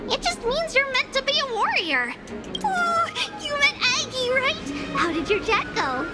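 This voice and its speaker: A woman's voice: very high voice, very animated, measured pace, full character voice. A woman voicing a cheerful, helpful little girl priestess in a video game, chatty and playful. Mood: warm, chatty. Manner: bubbly, exclaiming, speaks of herself as we. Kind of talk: video game character dialogue. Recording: game music under the voice.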